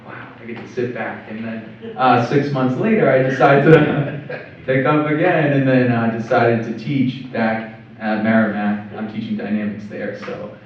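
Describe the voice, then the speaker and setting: A man's voice: mid-range voice, steady tone, a little hiss or hum. Upbeat young man, echoey distant panel mic. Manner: upbeat, quick, says right a lot. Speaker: engineering panelist, professor. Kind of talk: panel talk to students. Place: college cafe panel. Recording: roomy, distant, dull.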